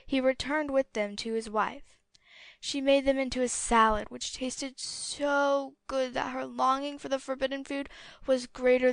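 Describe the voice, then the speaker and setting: A woman narrating a fairy tale as an audiobook, with a clear storytelling delivery. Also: sits high, fairly bright tone, no room sound.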